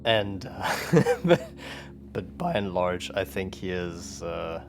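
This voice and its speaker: A man's voice: low voice, very animated, takes it slowly. A man chatting casually as a tabletop roleplaying player, describing his character's thoughts and actions. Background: instrumental music bed.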